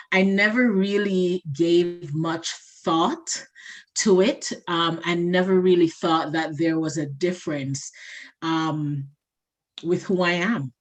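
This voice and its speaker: A woman from Jamaica, speaking in a casual discussion, reflective and repeating her points. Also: on the low side. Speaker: panel participant.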